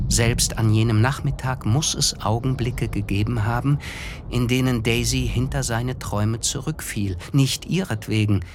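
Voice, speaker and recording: An actor narrating a literary radio play as an observant, wistful friend, in a measured, contemplative manner. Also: male voice, mid-range voice, background noise, lightly acted.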